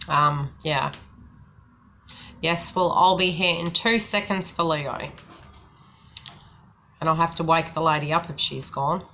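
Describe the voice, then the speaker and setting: A woman with a New Zealand accent that has been softened by years in Australia chats casually as a psychic tarot reader. Her voice sits low, has a bright edge, and runs full of fillers and restarts. Faint noise hums underneath. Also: takes it slowly, long pauses. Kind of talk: casual live-stream chat.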